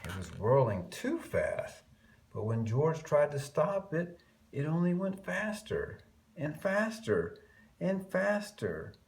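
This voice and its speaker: A man reading a picture book aloud to young children in an expressive way, stretching key words and adding chatty, murmured asides. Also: on the high side, slow pace, creaky.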